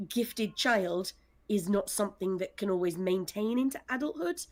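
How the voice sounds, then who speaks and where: A woman speaking casually in a panel discussion as a writer and director, with a wry, self-deprecating manner. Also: mid-range voice. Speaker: audio drama scriptwriter and director.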